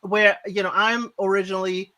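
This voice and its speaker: A man's voice: sits high, little pitch movement, moderate pace, pushed and bright. A man born in Trinidad, chatting casually and playfully on a live show.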